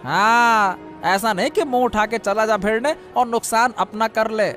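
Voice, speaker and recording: A man's voice: sits high, a little slow, a bit bright, soft background music. A man as a boastful, mocking fish seller. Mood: warning. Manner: mocking, taunting. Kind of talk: cartoon storytelling.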